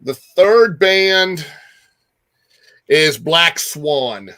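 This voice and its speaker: Bright-voiced man chatting about music. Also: fairly high pitch, animated, drawn-out words. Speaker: music show host. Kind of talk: casual rock music chat.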